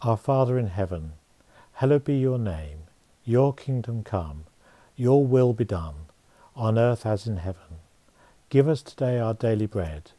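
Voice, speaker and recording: A man reciting formal liturgical prayers with measured phrasing. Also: on the low side, lively intonation, dark tone, dry recording.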